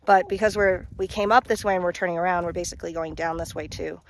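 A woman's voice: on the low side, steady tone, fast talker, a little hiss or hum. A young woman vlogging while hiking, talking into a phone at arm's length in a rambling, casual way, worn out from the day. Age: young adult. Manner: chatty, rambling with many likes and ums. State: tired and worn out. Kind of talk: casual travel vlog chat. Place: outdoors on a mountain ridge. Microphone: phone held at arm's length.

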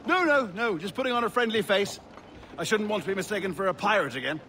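An actor playing a timid, effusive English merchant in a video game cutscene, with fussy, polite speech. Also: male voice, high voice, expressive, quick pace, background noise. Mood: chatty. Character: timid, genteel, flustered merchant, effusive and eager to please.

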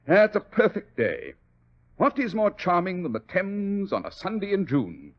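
An actor playing a brisk, teasing gentleman detective with a British accent, crisp, commanding and wry, in 1940s radio style. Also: high-pitched, huge pitch swings, heavily acted. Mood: airy, amused. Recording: dull, noisy old radio recording.

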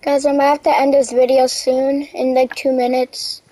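A young woman casually commenting on her own gameplay, relaxed and a bit tired. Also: normal speed, dry recording, rough recording. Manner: relaxed, low-key narration of play, says she is tired. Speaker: gaming video creator.

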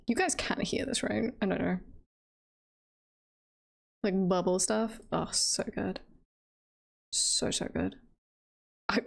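A woman's voice: mid-range voice, vocal fry, husky. A woman chatting casually on a livestream in a giggly, playful, rambling way. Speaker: livestreamer, virtual avatar host.

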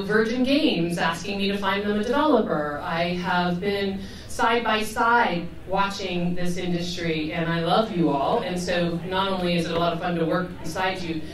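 A middle-aged woman moderating a conference panel, upbeat and energetic, laughing easily. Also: mid-range voice. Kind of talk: panel introduction. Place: conference room, with audience. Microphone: handheld mic, heard from afar. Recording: echoey room, distant mic, audience.

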